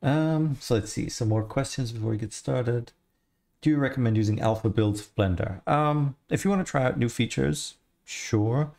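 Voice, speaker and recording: Man, 30s, mixed Australian-Irish-American accent, gravelly, mellow tone, casual livestream chat. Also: mid-range voice, moderate pace. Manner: relaxed, rambling, answers chat questions. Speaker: livestreamer, 3D artist. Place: home studio, indoors. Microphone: headset.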